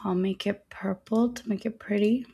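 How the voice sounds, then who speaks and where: Very creaky-voiced woman on a trading livestream. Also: mid-range voice, steady tone, moderate pace, warm tone. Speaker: live trading stream co-host. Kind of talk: casual live market commentary.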